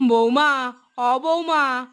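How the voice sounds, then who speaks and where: A woman's voice: high voice, very slow, fairly bright tone, clear, resonant tone, exaggerated voice. Woman acting a plaintive elderly village mother-in-law. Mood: anxious. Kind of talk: Bengali moral-tale cartoon dubbing.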